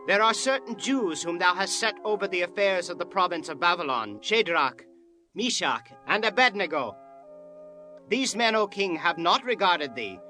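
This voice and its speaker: Accusing royal courtier, high-pitched, formal, declamatory, scripture drama over music. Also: male voice, pushed and bright. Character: accusing male court official addressing his king. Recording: music bed behind the voice.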